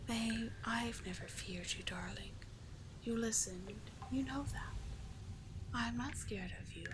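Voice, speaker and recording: A seductive sea witch, played by a woman, whispers in a soft, breathy hush over clear ambient noise. She is hypnotic and coaxing, repeating herself with possessive tenderness, as in an ASMR sleep roleplay. Her mid-range voice stays manipulative beneath the gentleness. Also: mid-range voice, lightly acted. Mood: tender, coaxing.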